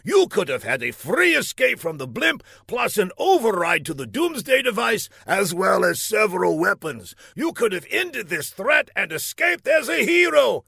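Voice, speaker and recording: Man doing a bombastic high-pitched villain mastermind. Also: big pitch swings, normal speed, gravelly, hardly any pauses, slightly rough, no echo, studio quality, full character voice. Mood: gloating showman. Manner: theatrical, shouting, gloating. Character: bombastic, short-tempered male villain mastermind, mock game-show host. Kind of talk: comic audiobook character.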